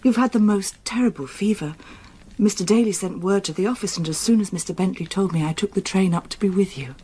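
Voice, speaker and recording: An actress playing a devoted young English fiancée, tender and anxious yet resolute, with formal diction in a period radio drama. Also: female voice, medium pitch, brisk, mellow, breathy edge, close to an everyday voice. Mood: worried, tender.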